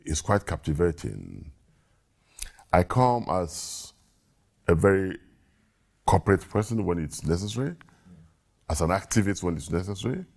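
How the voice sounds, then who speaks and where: A man's voice: deep voice, very animated, unhurried, vocal fry, long pauses, a little mellow. A man talking in a casual interview, earnest, often repeating words as he thinks. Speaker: guest.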